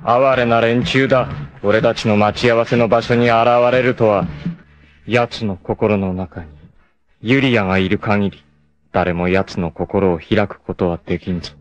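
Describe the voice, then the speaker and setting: An actor playing a proud, cool martial arts warrior in a 1980s anime, with a sardonic, dramatic delivery. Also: male voice, lowish voice, fairly even intonation, unhurried, close to an everyday voice. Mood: grave, cool. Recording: noisy 1980s anime soundtrack.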